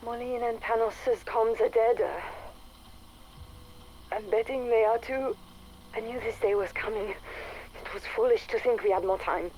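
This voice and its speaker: An actress playing a bossy, bitter scientist recording an audio log for a game, with a dry, blunt, exasperated manner. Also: female voice, fairly high pitch, fairly even intonation, a little slow, pauses often, darkish tone, lightly acted. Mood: grim, resigned. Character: bossy, bitter, sardonic female scientist doctor. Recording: noisy audio log tape.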